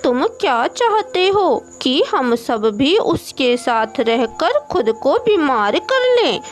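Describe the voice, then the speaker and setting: A woman's voice: high voice, sing-song, takes it slowly, heavily acted. A woman voicing a blunt crow from a flock of birds in a children's cartoon. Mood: scornful. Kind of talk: children's cartoon dubbing in Hindi. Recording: music behind the voice.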